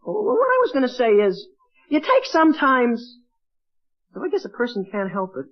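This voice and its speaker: An actor playing an awkward, excitable teenage boy in an old radio comedy, hesitant and stammering. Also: extremely high pitch, normal speed, full character voice. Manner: hesitant, stammering, exclaiming "gee whiz". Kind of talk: 1940s radio comedy acting. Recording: dull, band-limited old radio recording.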